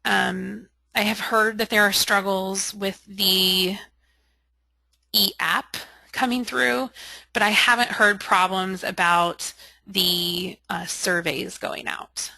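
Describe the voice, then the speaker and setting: A woman with a bright, forward voice runs an informal software training session. She sounds close and dry, with little room around her. She answers questions frankly and helpfully, often thinking aloud as she works. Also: mid-range voice, level tone, takes it slowly, creaky, dry recording, rough recording. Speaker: software trainer leading a webinar and taking questions. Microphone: conference call or webinar audio.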